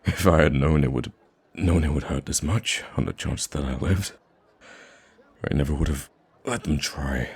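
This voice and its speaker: A man playing a soft-spoken, wistful and gracious young prince in an ASMR roleplay, speaking gently and haltingly. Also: heavily acted. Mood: brooding. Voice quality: very deep, gravelly, husky. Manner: hesitant, gentle, halting with sighs and stammers.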